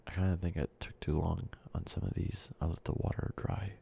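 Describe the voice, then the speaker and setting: Deep gravelly man whispering, congested. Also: fairly even intonation, brisk, a little hiss or hum. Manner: soft speaking drifting into whispers, rambling with fillers. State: sick and congested, short of breath. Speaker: ASMR creator. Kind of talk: soft-spoken ASMR candy-making ramble.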